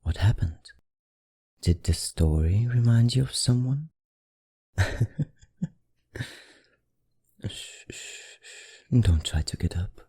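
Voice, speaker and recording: A man voicing a suave, softly predatory vampire librarian in an intimate ASMR roleplay, with a courtly, teasing manner and an affected accent. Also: animated, slow pace, pauses often, exaggerated voice. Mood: softly menacing. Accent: affected, non-native accent. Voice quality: low, dark, gravelly murmur.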